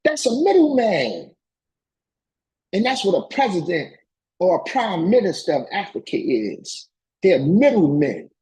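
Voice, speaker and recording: A man's voice: high voice, huge pitch swings, unhurried, dark tone, husky, poor audio. A man giving an impassioned political lecture, reading and commenting in an emphatic, colloquial way and repeating phrases to drive them home.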